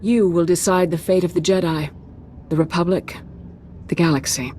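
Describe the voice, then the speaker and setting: Woman as a low-voiced, dignified Jedi leader. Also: steady tone, mellow, a little hiss or hum, natural voice. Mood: solemn. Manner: formal, measured, authoritative. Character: dignified, gracious female Jedi council leader. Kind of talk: video game acting.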